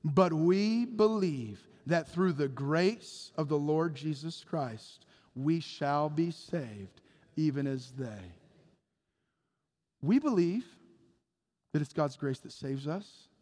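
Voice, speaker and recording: A man preaching a sermon with passion, acting out Bible figures in quick bits of dialogue. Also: a little high, expressive, darkish tone. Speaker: pastor. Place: church sanctuary. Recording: long hall reverb.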